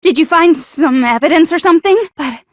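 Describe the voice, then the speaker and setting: Woman playing a very high-pitched neurotic anime schoolgirl. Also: a little fast, no room sound, studio quality, natural voice. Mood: snide, argumentative. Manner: stammering, accusatory, dramatic. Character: neurotic, paranoid, lovestruck teenage schoolgirl with a sharp tongue. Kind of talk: anime video game voice acting.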